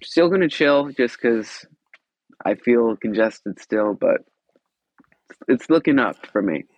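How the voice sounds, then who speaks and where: A man's voice: medium pitch, expressive, takes it slowly, no echo, rough recording. A congested man talking as a podcast guest, in a loose and laid-back way. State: congested, stuffed up. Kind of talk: casual chat. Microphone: remote home recording.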